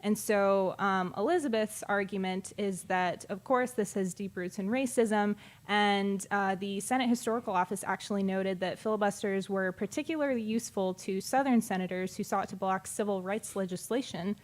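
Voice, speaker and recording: A woman's voice: mid-range voice, moderate pace, few pauses. A woman co-hosting a political talk podcast, presenting her prepared notes and agreeing often with "mm-hmm" and "exactly". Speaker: podcast co-host. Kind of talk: casual political chat.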